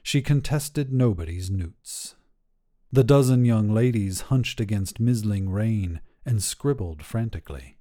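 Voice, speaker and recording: Male fiction narrator: slow, dark-toned, measured, theatrical delivery. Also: on the low side, expressive. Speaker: story narrator. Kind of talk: scripted literary fiction narration.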